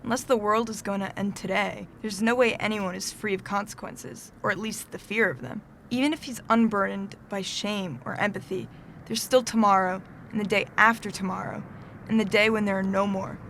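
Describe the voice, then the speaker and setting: A woman plays a concerned friend in an audio drama. Her mid-pitched voice is measured and sincere as she reasons things through. Noise can be heard behind her. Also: fairly even intonation, a bit bright, natural voice. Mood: earnest reasoning.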